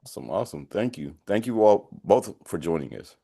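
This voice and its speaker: Fast-talking deep-voiced male moderator. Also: lively intonation, vocal fry, warm tone. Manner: upbeat, repeats words when thanking. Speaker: webinar moderator. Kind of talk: panel moderation.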